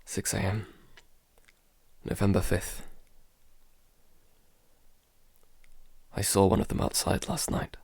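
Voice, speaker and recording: A man playing an anxious, sleepless recluse recording a halting, weary diary in an audio drama. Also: little pitch movement, a little mellow, a little hiss or hum. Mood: haunted. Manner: halting, sighing, low-key and weary. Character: Jason, anxious, sleepless man barricaded at home, keeping a diary. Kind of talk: audio drama, first-person diary monologue.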